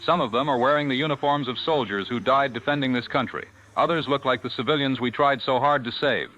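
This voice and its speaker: A man's voice: mid-range voice, brisk, sharp tone, muffled recording, lightly acted. A man playing a grave, solemn newsman making a final broadcast in a 1940s-style radio drama. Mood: grim, urgent.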